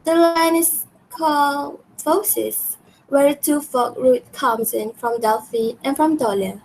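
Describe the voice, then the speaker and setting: A woman's voice: high voice, slow, slight background noise, rough recording. A young woman playing an earnest, imperious queen of ancient Greece in an amateur radio drama. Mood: questioning. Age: young adult. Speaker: student actor.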